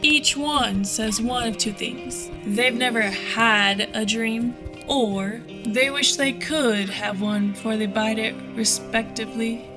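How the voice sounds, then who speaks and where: A woman's voice: fairly high pitch, fairly even intonation, slow pace, hardly any pauses. An actress playing a sly, hard-bitten female bounty hunter in an audio drama, cool, sardonic and taunting. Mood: skeptical, menacing. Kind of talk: dramatic audio fiction acting. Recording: music under the voice.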